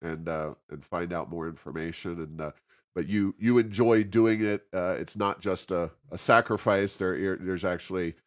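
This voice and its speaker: Podcast interviewer, male, American. Deep register, conversational delivery with frequent hesitant, circling phrasing. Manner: halting, roundabout phrasing with many hesitations. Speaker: interview host.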